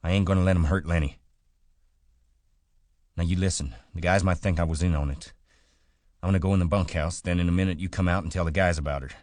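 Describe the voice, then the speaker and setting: A man voicing a worried, tough male ranch worker who talks in urgent, clipped, rough speech. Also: deep voice, normal speed, pauses often, darkish tone, dry recording, natural voice.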